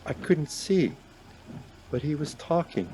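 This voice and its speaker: A man's voice: big pitch swings, slow, mellow. An actor playing a numb, guilt-stricken man traveller in a radio play, speaking in a hesitant, subdued way. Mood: haunted, hesitant. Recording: background noise with car rumble.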